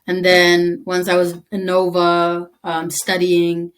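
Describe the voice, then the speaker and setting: Female interview guest from East Africa, Tigrinya native, lower-pitched, quick and chatty. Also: monotone. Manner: chatty, quick, lots of "like", laughs easily. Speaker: interview guest, army veteran. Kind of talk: conversational interview.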